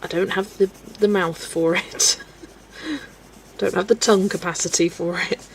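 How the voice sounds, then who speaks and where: A young woman hosting a colouring livestream, chatting casually with viewers, relaxed and laughing often as she works. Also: mid-range voice, measured pace, a little hiss or hum, low-quality recording. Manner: relaxed and chatty, laughs often, talks to herself while working. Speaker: livestream host. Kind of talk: casual chat with a live chat while colouring.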